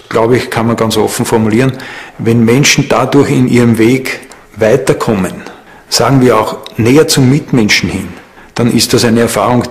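A man giving considered interview commentary in measured, formal, academic phrasing. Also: mid-range voice, vocal fry. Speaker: expert interviewee.

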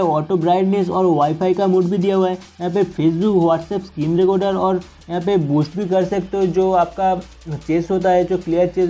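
High-pitched young man giving a phone tutorial. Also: dark tone. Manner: instructional, repetitive step-by-step explaining. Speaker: tech channel host. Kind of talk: casual phone tutorial narration. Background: music.

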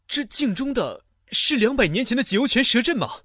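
A deferential young immortal played by a male actor with a bright, rather high, slightly husky voice. He speaks with curious, lively intonation in a fantasy audio drama. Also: brisk, no echo, exaggerated voice. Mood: astonished.

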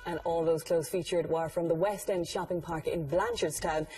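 A woman's voice: fairly low pitch, steady tone, moderate pace, mellow, breathy edge, recorded from a distance, soft background music. A woman with an Irish accent presenting and interviewing on a television show in a studio.

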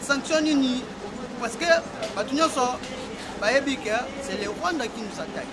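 A Congolese man with a very high voice gives a heated street opinion. He throws out rhetorical questions forcefully, with loud street noise all around. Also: moderate pace. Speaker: street interviewee. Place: outdoors by a doorway on a street.

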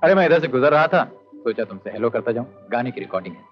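A man's voice: medium pitch, expressive, fast, faint music behind, natural voice. An actor playing a brash young male star singer who talks casually and bluntly, with joking threats. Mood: easygoing. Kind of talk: 1970s film dialogue. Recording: dull old film soundtrack.

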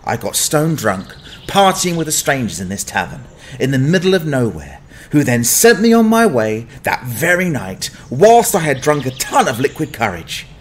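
A man's voice: medium pitch, very animated, moderate pace, a little hiss or hum, voice pushed up, natural voice. A man playing a sardonic young fallen-noble adventurer, telling his story in wry, dramatic audio drama narration.

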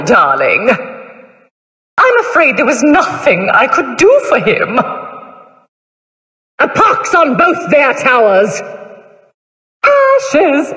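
An actress playing a gleefully morbid, mock-polite plague doctor death goddess in a video game, with theatrical, drawn-out delivery. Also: female voice, high voice, pushed and bright, exaggerated voice. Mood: menacing glee. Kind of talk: video game character voice lines. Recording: echoey hall reverb.

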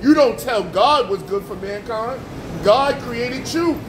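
A man preaching on the street, forceful and repetitive, firing rhetorical questions at passers-by. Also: very high-pitched, animated, slow, echoey room, background noise. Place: outdoors on a street with passing traffic.

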